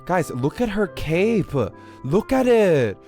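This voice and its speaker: Man, live game streamer, highly varied intonation, casual slang, repetitive emphasis, faint game music. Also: big pitch swings, slow pace, a little mellow. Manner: slangy, repeats himself for emphasis.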